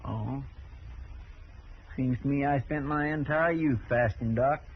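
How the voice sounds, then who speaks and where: An actor playing a loyal, earnest marshal's sidekick with a folksy rural American drawl in an old-time radio Western. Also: male voice, mid-range voice, unhurried, close to an everyday voice. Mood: easygoing, folksy. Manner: plain-spoken, folksy, earnest. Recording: dull, hissy old radio recording.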